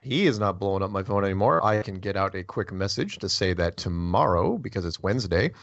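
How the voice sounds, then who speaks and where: Man, call-in host, joking, chatty, gravelly voice, dull lo-fi sound. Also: low-pitched, lively intonation, brisk, fairly bright tone, no echo. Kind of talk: casual call-in radio chat.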